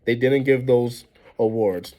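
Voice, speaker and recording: A man's voice: medium pitch, slow, warm tone, natural voice. A man voicing a casual, wry fifth-grade boy in a storybook, matter-of-fact and slangy.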